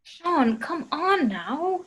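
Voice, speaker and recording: A woman's voice: sits high, very animated, extremely slow, fairly bright tone, full character voice. A woman reading the part of an exasperated but level-headed young peacemaker in an amateur table read of a film script. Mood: placating.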